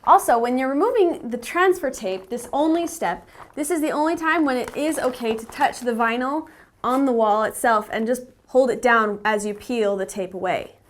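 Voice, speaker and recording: A woman's voice: on the high side, moderate pace, slight background noise. A woman narrating a how-to tutorial, explaining things step by step as an instructor. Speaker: instructor, company representative.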